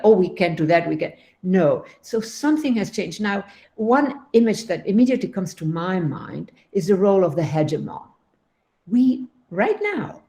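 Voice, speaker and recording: A woman's voice: mid-range voice, mellow. An older woman born in the Netherlands and raised in Latin America, thinking aloud in an unscripted academic panel talk, with frequent restarts and "you know".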